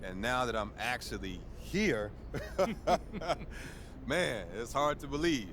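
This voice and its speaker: A man's voice: medium pitch, slow pace. A man playing a confident, easygoing champion basketball star, answering reporters in a casual, colloquial way. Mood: proud, relaxed. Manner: relaxed, colloquial, chuckling, self-assured. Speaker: pro athlete at a press conference. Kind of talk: casual press-conference answers. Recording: noisy outdoor crowd, street ambience.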